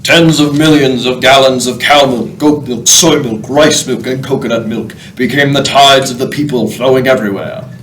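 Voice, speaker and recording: A man's voice: mid-range voice, fairly even intonation, normal speed, few pauses, fairly bright tone, natural voice. A man giving scripted, dramatic narration as a narrator in a radio play. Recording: roomy, off-mic, faint noise.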